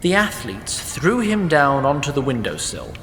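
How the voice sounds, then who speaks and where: A man's voice: mid-range voice, wildly swooping pitch, a little slow, fairly bright tone, long reverb tail, a little hiss or hum. A man narrating a comic fantasy audio drama in a wry, formal storytelling manner. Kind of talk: scripted narration.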